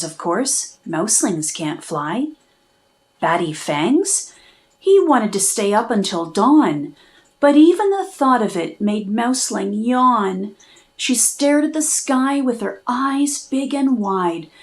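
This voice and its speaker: A woman reading a children's storybook aloud, narrating expressively in measured, rhythmic rhyme. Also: medium pitch, sing-song. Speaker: children's book reader and host.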